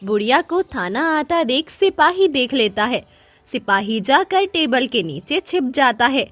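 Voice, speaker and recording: A woman's voice: high voice, very animated, unhurried, a bit bright, no room sound. A woman narrator reading a scripted children's moral story.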